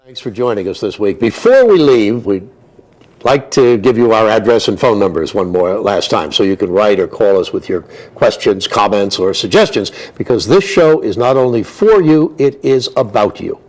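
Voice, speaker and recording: Lively-pitched male radio host. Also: normal speed. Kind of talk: presenting sign-off and contact details.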